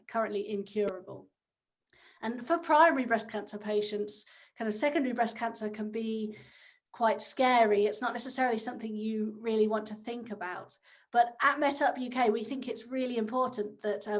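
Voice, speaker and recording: A woman in her thirties from England with a fairly high voice, opening and steering a friendly round table chat. She speaks in a measured way with occasional fillers. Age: 30s. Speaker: discussion host.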